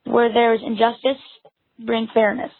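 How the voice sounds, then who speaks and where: A woman leading a responsive spoken prayer in short, deliberate phrases, leaving pauses for response. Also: a little high, flat delivery, no room sound. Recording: narrow phone-like sound, dry. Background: soft guitar music.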